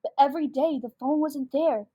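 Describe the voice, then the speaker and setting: A woman's voice: high-pitched, brisk, dark tone, natural voice. A girl of about twelve playing an exasperated, scheming schoolgirl who narrates her own confession in a comedic radio drama. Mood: scheming, smug. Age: child about twelve.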